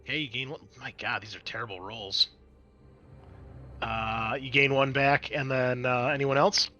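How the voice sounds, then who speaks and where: A man acts as game master with a sharp, bright voice. He moves between narrating scenes and explaining rules, keeping it conversational and explanatory. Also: mid-range voice, fairly even intonation, normal speed, muffled recording. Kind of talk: narrating and refereeing a tabletop game.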